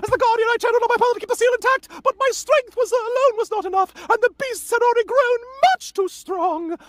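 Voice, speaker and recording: A woman voicing a solemn, grandiose elder fairy guardian in a theatrical, drawn-out table read of a fantasy game script. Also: extremely high pitch, no room sound. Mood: urgent, grave. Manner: theatrical, drawn-out, breaks into flustered asides.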